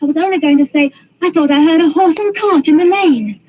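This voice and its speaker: A woman's voice: extremely high pitch, a little fast. An actress playing a timid young servant girl, pleading and meek. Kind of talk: 1940s radio acting. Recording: muffled old radio recording with hiss.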